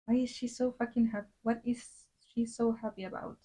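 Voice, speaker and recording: A woman's voice: on the high side, flat delivery, moderate pace, warm tone, breathy edge, low-quality recording. A young woman from Latin America, chatting casually on a drawing livestream and rambling with many fillers and restarts. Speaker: streamer.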